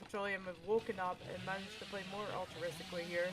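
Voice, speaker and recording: A woman commentating a live esports match with quick, excitable play-by-play, her voice getting worn. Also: medium pitch. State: losing her voice. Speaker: esports caster. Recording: noisy stream audio.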